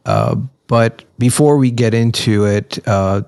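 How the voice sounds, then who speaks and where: A man hosting a podcast interview in a conversational, somewhat halting manner. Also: medium pitch, creaky, a little mellow, clear, resonant tone, dry recording, clean studio sound. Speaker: podcast host.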